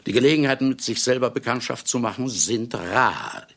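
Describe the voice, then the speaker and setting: Gravelly, vain old celebrated writer. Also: male voice, mid-range voice, brisk, a bit bright. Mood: wry, self-amused. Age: older. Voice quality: gravelly, husky. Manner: theatrical, self-ironic, blustering, chuckling asides. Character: vain, boozy, celebrated male writer, brusque and self-mocking. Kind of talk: German radio play acting and narration.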